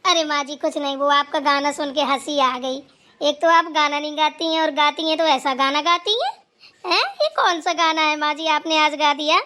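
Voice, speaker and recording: A woman's voice: high voice, moderate pace, pushed and bright. A woman voicing a dutiful, anxious young daughter-in-law who talks eagerly and pleads.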